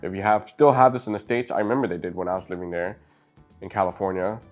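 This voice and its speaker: A man's voice: low voice, steady tone, fast, soft background music. A middle-aged man with a slightly sniffly nose gives a casual, upbeat toy review, speaking straight to his viewers on a clip-on mic. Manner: chatty, upbeat, talks to viewers directly. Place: indoor room lined with shelves of brick sets. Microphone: clip-on lapel mic.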